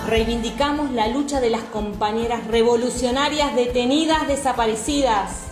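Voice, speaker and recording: Higher-pitched Argentine woman in a roomy echo. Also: normal speed. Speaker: activist reading a manifesto. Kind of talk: scripted declaration. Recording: roomy echo, music behind.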